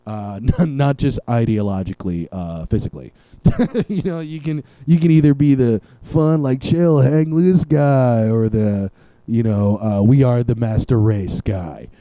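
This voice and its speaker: A young man talks by himself on his podcast, his voice very dark and mellow. He rambles through sarcastic jokes and swears freely. The recording is dry and close, with no room echo. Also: medium pitch, measured pace. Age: young adult. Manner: sarcastic, rambling, profane riffing. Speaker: podcast host. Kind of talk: solo comedic podcast rant. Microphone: camera and podcast mic.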